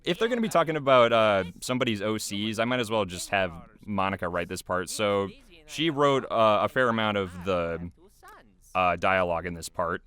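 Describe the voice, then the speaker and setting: A man's voice: on the low side, lively intonation, moderate pace, a bit bright, clear, resonant tone. A man giving casual, unscripted behind-the-scenes commentary in a rambling, hesitant way.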